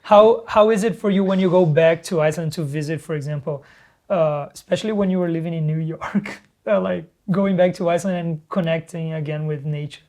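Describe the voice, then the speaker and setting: This host asks questions in a casual interview, pausing and searching for words as they go. The voice sits in a middle pitch range. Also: a little mellow.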